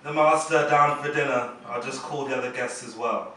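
A man's voice: medium pitch, fairly even intonation, moderate pace, background noise, close to an everyday voice. A man playing a sinister, stately butler who is menacingly polite in a comedy-horror radio play. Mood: ominously polite. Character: sinister, courteous butler of a creepy old house. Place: open indoor studio tour space, group around stand mics. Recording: echoey room, distant camera microphone.

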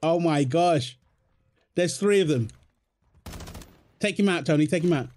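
A man's voice: a little high, a little slow, darkish tone, close to an everyday voice. A British man playing an affectionate, playful husband in an intimate, naturalistic audio roleplay, talking casually and teasingly in short repeated phrases. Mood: excited, bantering. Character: affectionate, playful, apologetic husband, gamer with his mates.

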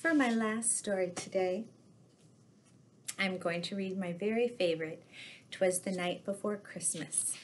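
Middle-aged woman, children's storytime reader, mid-range voice, unhurried and expressive read-aloud delivery. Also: mid-range voice, noisy background. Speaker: storyteller in costume as Mrs. Claus. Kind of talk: reading children's picture books aloud. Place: living room with fireplace, indoors.

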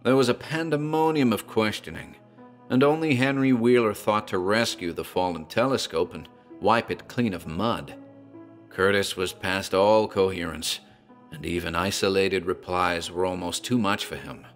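A man with a very deep, low voice narrates a horror tale in a grave, measured way. Faint music runs beneath his words. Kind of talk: dramatic horror story narration.

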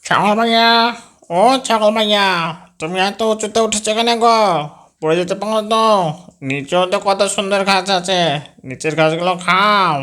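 A scheming, hungry male fox from a kids' cartoon, acted out by a man. The voice is piercingly bright and sits a little high, with a sugary, coaxing delivery. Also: moderate pace, clean tone. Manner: sweet-talking, coaxing. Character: sly greedy male fox, wheedling and scheming. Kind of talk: children's cartoon voice acting.

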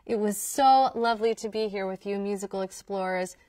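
A woman's voice: medium pitch, a little fast, warm tone, no room sound, clean studio sound, distant mic. A woman, a folk musician telling young listeners about her family and music in an enthusiastic storytelling manner. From Queens, New York. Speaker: folk musician presenting to children. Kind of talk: scripted educational talk to young listeners. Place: indoor studio with wooden wall backdrop.

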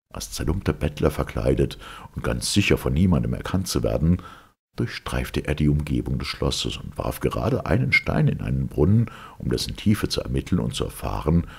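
A man with a gravelly, husky voice reads a legend aloud as a storyteller, in a measured, literary manner. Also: a bass voice. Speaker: audiobook reader. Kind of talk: scripted storytelling narration of a legend.

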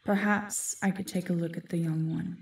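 A woman's voice: on the low side, steady tone, normal speed, gravelly. A woman playing an ancient, aloof forest guardian spirit who speaks formally and commandingly in a fantasy audio drama. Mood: measured warning. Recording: echoey, distant-sounding voice.

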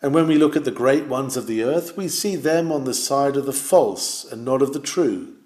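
A man's voice: mid-range voice, animated, normal speed, darkish tone. Man, sermon reading, solemn, exhorting, rising and falling emphasis, echoey room. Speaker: reader of a historical sermon.

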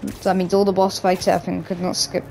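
A man with a hoarse, croaky voice from a cough, casually rambling through gameplay commentary as a gaming YouTuber. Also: high-pitched, monotone, quick pace, darkish tone, a little hiss or hum, low-quality recording. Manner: rambling, loose, with many restarts and "like".

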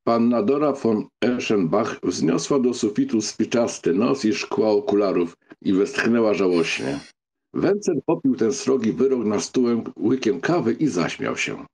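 A man's voice: fairly low pitch, lively intonation, unhurried, gravelly, darkish tone, husky. A man reading scripted narration of a novel as an audiobook narrator.

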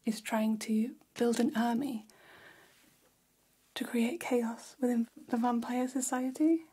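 A woman acts out a catty female vampire hairdresser for an ASMR roleplay. She whispers very breathily, slow and soft, with a mellow tone. She confides salon gossip up close in an even, hushed line. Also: fairly high pitch, breathy edge, full character voice. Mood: hushed, conspiratorial. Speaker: ASMR performer. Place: small decorated room, indoors. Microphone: close ASMR microphone.

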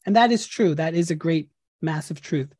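A man's voice: fairly high pitch, normal speed, darkish tone, slightly rough, dry recording. A man hosting a podcast, talking in an earnest, reflective way and repeating phrases for emphasis. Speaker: podcast host. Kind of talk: interview and outro.

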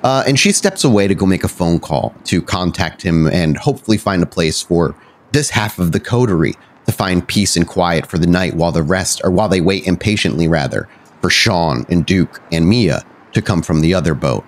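A man hosting and narrating a tabletop roleplaying game, often halting and correcting himself as he goes. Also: low-pitched, expressive. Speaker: podcast host and game storyteller.

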